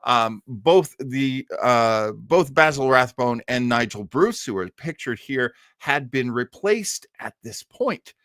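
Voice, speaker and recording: A man's voice: mid-range voice, expressive, slow, few pauses, fairly bright tone, no echo, clean studio sound. A man hosting a livestream, chatting casually with jokey, rambling asides. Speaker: livestream host. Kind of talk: casual chat commentary on old radio.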